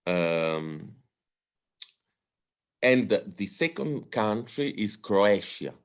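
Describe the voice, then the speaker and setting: A man's voice: on the low side, lively intonation, drawn-out words, clear, resonant tone. A man with a strong Italian accent giving a talk in English, hesitant, with many fillers and restarts. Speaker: guest speaker on democracy. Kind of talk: lecture-style talk.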